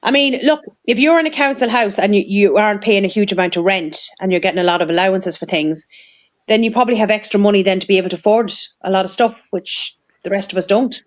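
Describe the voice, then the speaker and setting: Woman, quick and hesitant, slightly muffled lo-fi line. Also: medium pitch. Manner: fast, hesitant restarts. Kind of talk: casual political chat. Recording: dull lo-fi sound.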